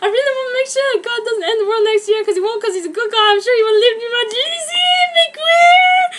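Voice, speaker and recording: A woman's voice: extremely high pitch, lively intonation, a little fast, pitched above the performer's own voice. A woman voicing an overexcited teenage fangirl author in a gushing, dramatic comic fanfic reading. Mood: hysterical excitement. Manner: breathless gushing, squealing. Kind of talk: mock-dramatic fan fiction reading.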